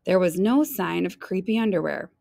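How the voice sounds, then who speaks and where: A woman reading a children's picture book aloud in an expressive style, stretching key phrases for drama. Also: fairly low pitch, normal speed, vocal fry, no echo, studio quality.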